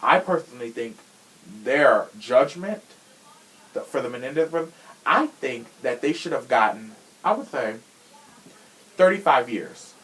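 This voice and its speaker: A man's voice: mid-range voice, expressive, a little slow, long pauses, fairly bright tone, low-quality recording. Chatty, sassy man hosting a podcast. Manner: chatty, sassy, slangy, emphatic. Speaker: podcast host. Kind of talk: casual opinionated chat.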